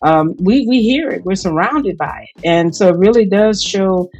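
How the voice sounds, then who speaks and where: A woman's voice: mid-range voice, normal speed, a little mellow, highs cut off, soft background music. A woman hosting a conversational interview, talking in a hesitant, meandering way as she forms her thoughts. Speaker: show host, interviewer.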